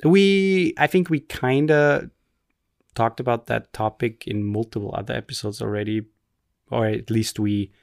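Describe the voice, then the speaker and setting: A man's voice: medium pitch, unhurried, gravelly, dry recording, clean studio sound. Rambling, joking male podcast co-host. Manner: rambling, self-mocking, joking. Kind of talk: casual chat.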